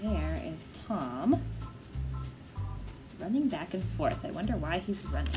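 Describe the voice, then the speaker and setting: A woman storyteller reading a picture book aloud to children in an expressive, animated way. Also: on the low side, measured pace, music in the background.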